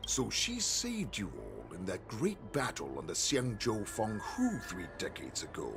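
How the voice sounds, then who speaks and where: An actor voicing a menacing male wolf-beast warlord in a video game, gravelly, taunting and theatrical. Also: huge pitch swings, music in the background, full character voice. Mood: mocking, probing. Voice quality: deep, gravelly. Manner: taunting, theatrical, drawn-out delivery. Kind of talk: dramatic video game voice acting.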